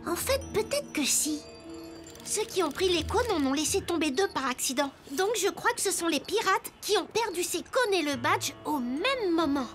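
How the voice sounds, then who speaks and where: A woman's voice: very high-pitched, lively intonation, normal speed, soft background music. A woman playing an earnest, cheerful little wooden boy detective in a children's cartoon. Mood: excited. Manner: earnest, eager. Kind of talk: animated children's cartoon dialogue.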